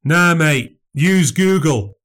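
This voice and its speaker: A man's voice: a little high, animated, slow pace, fairly bright tone, voice pushed up. A man plays a cocky young rugby heckler in a spoken story, his voice pushed well above his own. He jeers dismissively, mocking whoever is on stage. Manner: jeering, dismissive, says "mate".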